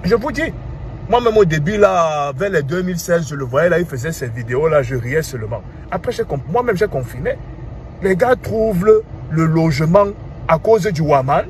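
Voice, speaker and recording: Man, thirties, Cameroonian French accent, animated, with street traffic heard clearly behind. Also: fairly high pitch, normal speed. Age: 30s. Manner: animated, asks rhetorical questions, repeats "hein". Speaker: commentator. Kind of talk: casual monologue.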